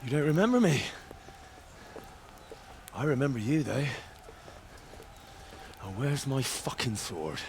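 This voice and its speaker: An actor playing a dogged, vengeful young peasant fighter in a video game, demanding and aggressive. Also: male voice, medium pitch, big pitch swings, slow, creaky, pauses often, a little hiss or hum, exaggerated voice. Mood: defiant. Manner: demanding, aggressive, rising into shouts. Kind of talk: video game cutscene acting. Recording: loud music behind.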